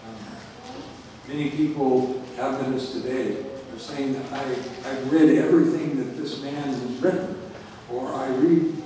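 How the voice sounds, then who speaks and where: A man preaching a Bible sermon in a halting, hesitant way, often pausing as he works through his notes and quotations. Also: mid-range voice, fairly even intonation, mellow. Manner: halting, hesitant delivery with long pauses. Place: large reverberant church room. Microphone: distant microphone. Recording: echoey hall from far off, noisy.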